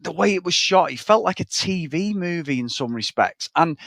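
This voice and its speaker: A man's voice: a little high, lively intonation, normal speed, fairly bright tone, dry recording, clean studio sound. Frank rambling man. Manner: rambling, frank, swears casually. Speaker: podcast co-host. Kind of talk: casual film review chat.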